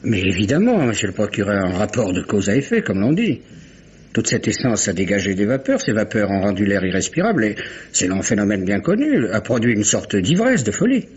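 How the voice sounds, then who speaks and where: Blustering shipowner, muffled old radio. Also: male voice, fairly low pitch, big pitch swings, fairly quick, a little mellow, husky, full character voice. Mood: assertive. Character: blustering, evasive American male shipowner under questioning. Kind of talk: 1950s-style French radio mystery acting. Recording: dull vintage radio recording, faint noise.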